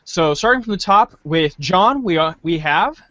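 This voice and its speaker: A man's voice: high voice, animated, moderate pace, bright, forceful tone, dry recording, lo-fi sound. A man hosting a podcast and narrating a read-aloud in a jovial, theatrical way.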